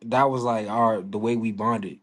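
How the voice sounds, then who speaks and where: A man's voice: lowish voice, steady tone, moderate pace. A man in his twenties from Virginia, a musician talking casually in an interview, laid-back and full of fillers. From Virginia, United States. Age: 20s. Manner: laid-back, many fillers, soft-spoken. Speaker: musician guest.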